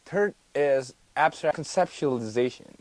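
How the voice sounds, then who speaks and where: Halting young man from Indonesia. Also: medium pitch, animated, no echo. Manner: careful, halting delivery with restarts. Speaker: student guest. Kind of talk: mock TV interview, explaining coursework.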